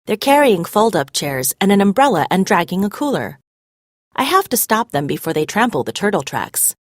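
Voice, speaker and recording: A woman narrating a scripted story as a read-aloud, expressive and clearly phrased. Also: on the low side, no room sound, studio quality.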